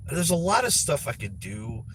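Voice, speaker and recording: A man's voice: on the high side, sing-song, a little fast, a little hiss or hum, low-quality recording. Congested man rambling in a vlog. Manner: rambling, repeats words, trails off with sighs. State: stuffed-up nose, congested. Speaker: vlogger. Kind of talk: casual rambling vlog chat.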